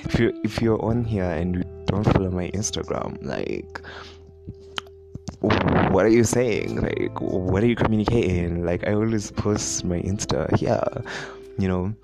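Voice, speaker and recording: A young Kenyan man with a deep voice and a Kenyan accent, chatting casually on his own podcast, rambling with many fillers and frequent laughs. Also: expressive, unhurried, gravelly, over music. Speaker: podcast host. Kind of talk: casual solo podcast chat.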